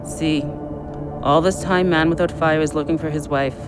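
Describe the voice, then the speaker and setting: An actor as a reckless, high-voiced wild man. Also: moderate pace, natural voice. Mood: brooding. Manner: excitable, insistent. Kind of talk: audio drama acting. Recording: music behind the voice.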